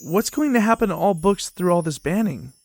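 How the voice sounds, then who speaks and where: A woman's voice: lowish voice, lively intonation, fairly quick, darkish tone, no room sound, studio quality. A woman voicing a doubtful, hesitant banned book in a stage puppet musical. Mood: wary, questioning.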